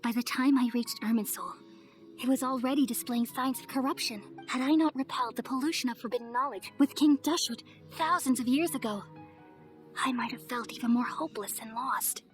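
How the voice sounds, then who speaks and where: A woman playing a serene, wise and motherly goddess in an anime-style video game, speaking gently and solemnly. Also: high voice, a little fast, natural voice. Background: music.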